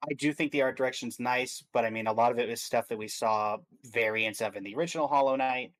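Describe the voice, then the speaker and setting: A man's voice: mid-range voice, quick pace. A man co-hosting a stream, chatting casually about video games and thinking aloud as he goes. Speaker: stream co-host.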